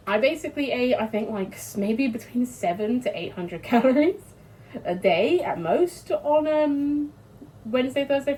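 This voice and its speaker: A woman's voice: mid-range voice, a little mellow, poor audio. A British woman vlogging casually while she cooks, chatty and sweary, laughing often, with a congested, sniffly voice. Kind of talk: casual chat while cooking. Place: home kitchen.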